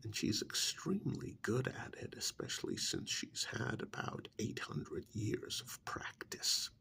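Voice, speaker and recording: A softly whispering vampire envoy acted by a man speaks in an ASMR role-play. His voice is thick with gravelly fry and takes its time, pausing deliberately. He sounds chatty and gently scolding, with pitch that swoops widely. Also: huge pitch swings, full character voice. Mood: confiding, soothing. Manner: slow, deliberate, drawn-out pauses, conversational.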